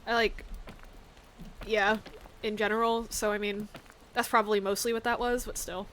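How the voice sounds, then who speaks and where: A woman's voice: medium pitch, little pitch movement, normal speed, a bit bright, slight background noise, rough recording. A woman streaming a game, rambling casually with many fillers and giggles, with a slight lisp. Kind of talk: casual chat while gaming.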